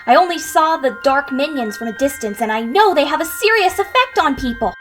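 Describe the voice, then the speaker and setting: A woman's voice: high-pitched, lively intonation, a little fast, fairly bright tone, soft background music. A woman voicing a spirited, scolding young female hedgehog heroine with an earnest, girlish manner in a fan audio drama. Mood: stern, concerned.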